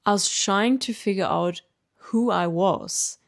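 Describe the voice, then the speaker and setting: A German woman in her late twenties, a native German speaker with a German accent, calmly telling her own story in an earnest, reflective vlog. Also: medium pitch, slow pace. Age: late 20s. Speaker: vlogger. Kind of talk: reflective personal narration.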